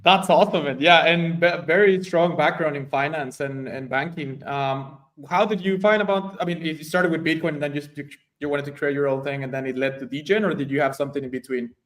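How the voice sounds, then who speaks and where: A man's voice: on the high side, steady tone, a little fast, few pauses, fairly bright tone. A man hosting an online show, chatty and upbeat, opening with loose, friendly phrasing and questions for his guest. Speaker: podcast host. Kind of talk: casual show host intro. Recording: voice a bit far from the mic.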